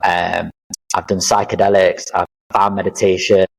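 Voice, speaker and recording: A man's voice: deep voice, flat delivery, moderate pace, vocal fry, low-quality recording. A man in his thirties, a life coach guest on a podcast, talking earnestly and reflectively about his experiences with many fillers. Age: 30s. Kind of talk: casual interview chat.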